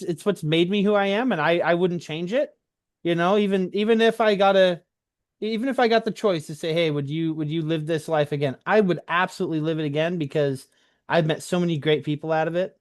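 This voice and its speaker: A man's voice: sits high, fairly quick, no echo, studio quality. A man in a casual conversational interview, talking earnestly as a host who shares his own experience and often checks for agreement.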